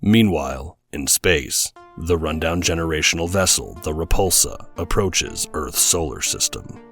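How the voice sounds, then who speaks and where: A man's voice: a bass voice, expressive, unhurried. A man narrating an audio drama in a scripted storytelling style, with dramatic music behind him.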